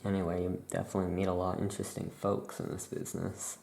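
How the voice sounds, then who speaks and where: A soft-spoken ASMR host playing a witch shopkeeper, casually improvising and thinking aloud in an unhurried, rambling way. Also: low voice, little pitch movement, vocal fry.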